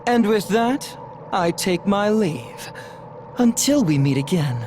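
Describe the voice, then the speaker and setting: An actor playing a pompous, theatrical game villain who savors a hero's struggles, speaking in formal phrases. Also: male voice, sits high, animated, slow pace, background noise. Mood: amused, theatrical. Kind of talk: scripted video game acting.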